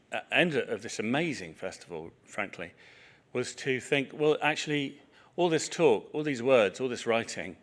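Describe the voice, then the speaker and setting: A man's voice: medium pitch, fairly bright tone. Chatty middle-aged man chairing a panel. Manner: chatty, self-deprecating, many fillers. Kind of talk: hosting a festival panel. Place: small event hall with audience.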